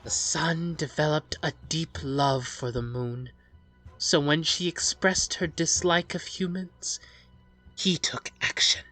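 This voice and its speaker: A let's play host reads a game's story aloud in a measured, dramatic way and adds offhand, rambling commentary. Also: medium pitch, sharp tone. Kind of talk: reading game story aloud with casual commentary. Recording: music under the voice. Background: game music.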